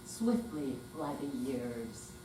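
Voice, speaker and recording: A woman's voice: rumbling low, big pitch swings, slow, over a noisy crowd. An older woman giving a dramatic reading of verse as a readers theater performer. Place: hall at a senior center. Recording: echoey room, far mic, clear mains hum.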